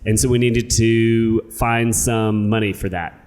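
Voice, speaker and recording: A man from the United States with an American accent, co-hosting a casual podcast and explaining words and events in a playful, clear way. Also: fairly low pitch, flat delivery, a little slow, darkish tone. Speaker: co-host, English teacher. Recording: reverberant room.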